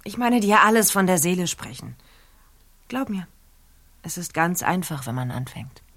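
A woman playing a cool, stern interrogator in a radio drama, calmly coaxing a suspect to confess. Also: on the low side, moderate pace, full character voice. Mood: coaxing pressure. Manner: calm, coaxing, pressuring.

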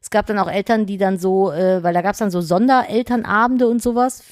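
A woman's voice: medium pitch, brisk, a little mellow, dry recording, clean studio sound. Blunt opinionated woman podcaster. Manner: blunt, opinionated, swears casually. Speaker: podcast co-host. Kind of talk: casual chat.

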